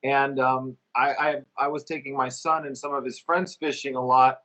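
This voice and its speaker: A man's voice: medium pitch, flat delivery, normal speed, sharp tone, rough recording. A middle-aged man talking casually as a musician guest, telling his story in a conversational way.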